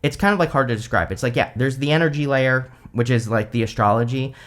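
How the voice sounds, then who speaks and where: A man's voice: medium pitch, fast, a bit bright. Rambling, chatty man reading tarot. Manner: chatty and rambling, full of "like" and "you know", with light laughs. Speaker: tarot reader, channel host. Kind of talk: casual tarot reading to camera.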